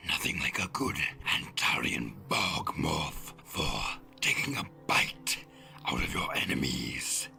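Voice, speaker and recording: Storybook villain: smug alien tyrant, very slow, heavily gravelled, menacing. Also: male voice, rumbling low, big pitch swings, sharp tone, faint music behind, voice pushed down, exaggerated voice. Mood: smug gloating. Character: monstrous gloating male alien warlord, cruel and smug.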